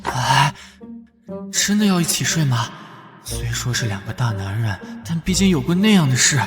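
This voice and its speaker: An actor playing a protective, easily flustered young policeman in an animated drama, expressive and exclaiming, with a teasing big-brother manner. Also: medium pitch, normal speed, soft background music, lightly acted.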